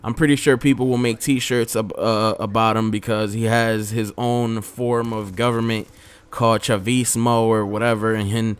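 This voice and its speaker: A man's voice: medium pitch, clean tone. A young man from the Dominican Republic giving a casual, rambling opinion vlog, often restarting and correcting himself. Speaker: vlogger.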